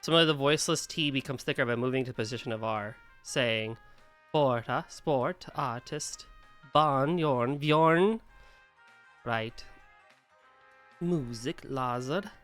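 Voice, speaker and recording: A man's voice: medium pitch, unhurried, pauses often, a bit bright, faint music behind. An American man streaming, reacting casually to videos and reading aloud, rambling and laughing often. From United States. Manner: rambling, laughs easily, swears.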